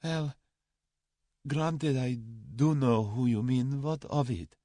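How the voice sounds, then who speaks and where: A man voicing a cowardly, haughty aristocrat in an audiobook story, formal and halting. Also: very animated, no echo, clean studio sound. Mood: sullen dread. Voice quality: soft-spoken, high when agitated.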